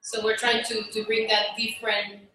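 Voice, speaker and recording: A woman's voice: a little high, monotone, normal speed, bright, forceful tone, slight background noise. A woman from Venezuela introduces herself and her work with upbeat, promotional energy. She sounds distant from the mic. The room around her is heavily echoey. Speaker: public relations worker. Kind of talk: self-introduction.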